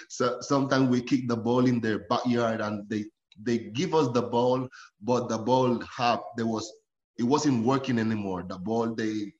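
Costa rican guy in his 30s, slow easy talk, laughs a lot, echoey dull audio. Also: mid-range voice. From Costa Rica. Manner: laughs often, relaxed storytelling. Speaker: professional footballer, guest. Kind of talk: casual interview chat.

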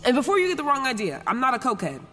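A woman's voice: high voice, wildly swooping pitch, racing, pushed and bright, big-room sound, faint music behind, full character voice. An actress playing a frank, foul-mouthed young woman, newly sober, rambling through a confessional audio drama in sarcastic slang. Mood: frank, defensive. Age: young adult. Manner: rambling, self-interrupting, sarcastic, casual slangy talk. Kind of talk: confessional first-person audio drama narration.

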